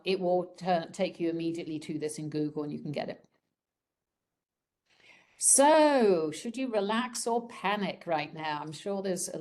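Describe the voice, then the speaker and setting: A woman presents an informal webinar for students in a slightly low voice. She sounds croaky, as if getting over a cold, and talks in a conversational, frank way over a video call. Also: a little mellow. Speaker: program director presenting a webinar.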